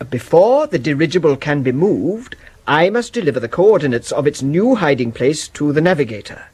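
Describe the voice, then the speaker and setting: An actor playing a pompous, insistent English gentleman with a formal, declamatory manner, in a 1970s radio drama. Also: fairly high pitch, big pitch swings, normal speed, breathy edge. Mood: persuasive.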